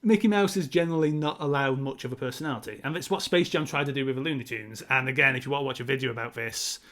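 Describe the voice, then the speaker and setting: A man with a bright, forward voice and middling pitch, co-hosting a podcast. He talks casually and analytically about films, pressing his opinions with emphasis and drifting into tangents. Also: quick pace. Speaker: podcast co-host. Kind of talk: opinionated casual film discussion.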